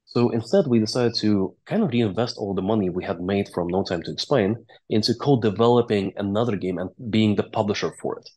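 Low-voiced man. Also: fairly quick, hardly any pauses, darkish tone. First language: Russian or Latvian. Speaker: interview guest, game company founder and CEO. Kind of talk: conversational interview storytelling.

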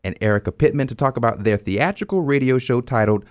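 A man's voice: mid-range voice, expressive, fast, smooth, no room sound, clean studio sound. An American man hosting a radio show, presenting in a conversational way and speaking directly to listeners. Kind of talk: scripted announcements and interview hosting.

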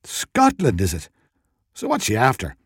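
A man voicing a burly, irritable Irish shopkeeper in a short story, wheezy and gruff in his complaining. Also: lowish voice, huge pitch swings, unhurried, dry recording, clean studio sound, full character voice. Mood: baffled.

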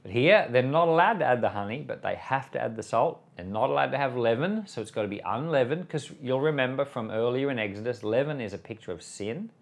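An Australian man, a pastor hosting a Bible podcast, reading and explaining scripture with measured, emphatic phrasing. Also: medium pitch, expressive, creaky. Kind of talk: scripture reading with devotional commentary.

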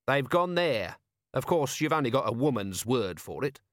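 A man's voice: medium pitch, sing-song, moderate pace, a bit bright, no echo, studio quality. A man voicing a scruffy, cheeky, sulky corporal who insists on being a woman, in a comic audiobook. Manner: needling, colloquial.

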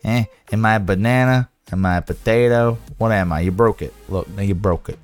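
A man with a raspy, hoarse voice chatting casually with his livestream viewers, rambling, sarcastic and swearing as he reads figures aloud. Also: on the low side, brisk, faint music behind. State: hoarse, sore voice.

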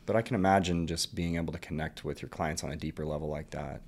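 A man hosts a casual podcast interview and asks loose, friendly questions. His voice is low and deep. He backs his guest up with quick "yeah"s and "right"s. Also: steady tone, vocal fry. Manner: friendly, agreeable, lots of short affirmations. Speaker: podcast host.

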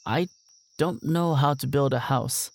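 A man's voice: mid-range voice, measured pace, creaky, a little mellow, slight background noise. A man playing a naive, clueless and polite brother in an audio drama, earnest, hesitant and easily flustered. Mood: unsure, sincere. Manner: hesitant, earnest, literal-minded.

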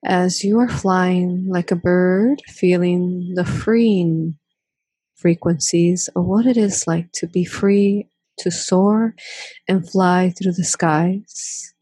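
Breathy woman guiding a slow meditation. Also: lowish voice, steady tone, no echo, rough recording. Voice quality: breathy, hushed. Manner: drawn-out, gently repeating phrases with long pauses. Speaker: guided meditation leader. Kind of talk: guided meditation, slow and soothing.

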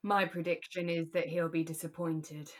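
A woman co-hosting a podcast, talking through a radio drama in a relaxed, conversational way. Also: low voice, moderate pace. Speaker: podcast co-host.

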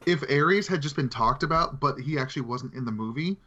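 A man's voice: medium pitch, animated, brisk, bright, forceful tone. A man co-hosting a podcast in casual conversation, recorded on slightly dull, lo-fi audio. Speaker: podcast co-host. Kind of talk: casual movie-discussion chat.

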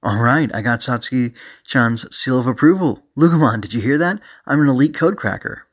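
A cheeky young male hacker from an audiobook, voiced by a man. He talks informally and playfully, in an excitable way. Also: medium pitch, normal speed, pitched above the performer's own voice, close to an everyday voice. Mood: excited.

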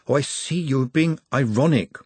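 A man's voice: medium pitch, moderate pace, husky, no room sound, voice pushed up, close to an everyday voice. A man voicing a meek, earnest male servant in an audiobook, with precise, formal and overly polite wording. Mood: puzzled.

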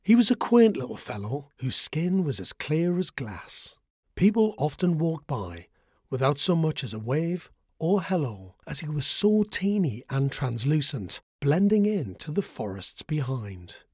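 A man reads a bedtime story in a soothing, expressive way, his pitch gliding up and down in a lively manner. His voice is mid-pitched and a touch husky. It sounds close and dry, with no room around it. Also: a little slow, dry recording.

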